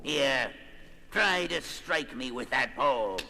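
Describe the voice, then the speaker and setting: An actor playing a grandiose, vengeful, half-blind underground villain in a theatrical, pompous comic-book audio drama style. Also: male voice, medium pitch, expressive, gravelly, exaggerated voice. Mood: scornful. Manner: theatrical, pompous, archly menacing, drawn-out delivery. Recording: loud music under the voice.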